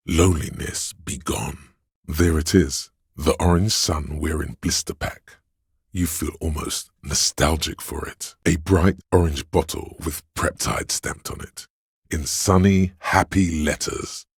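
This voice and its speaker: A man voicing a seductive, hedonistic inner voice of craving in a video game, gravelly and husky, coaxing slyly and savouring his words. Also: rumbling low, no echo, studio quality, heavily acted. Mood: sultry, coaxing. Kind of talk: dramatic video game narration.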